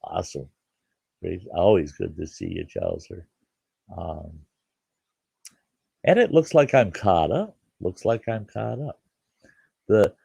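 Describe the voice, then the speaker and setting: A slow-talking older man hosts a casual live chat, sounding congested and chuckling as he rambles. His voice is very low and gravelly, recorded close and dry. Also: no echo. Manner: relaxed, rambling, chuckles often, greets viewers by name. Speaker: livestream host.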